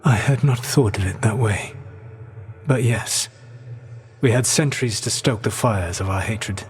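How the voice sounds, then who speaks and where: Ancient proud elf, brooding scholar, low husky voice, formal eloquent speech, video game dialogue, long reverb. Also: male voice. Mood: brooding, disdainful. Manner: measured, formal, eloquent diction. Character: ancient elven trickster god, proud, brooding, regretful scholar.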